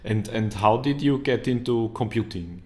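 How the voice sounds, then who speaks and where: A man's voice: mid-range voice, mellow. A man moderating a live online Q&A, reading out viewer comments in an easygoing, joking way. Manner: slow and deliberate, joking, easygoing. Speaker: event moderator.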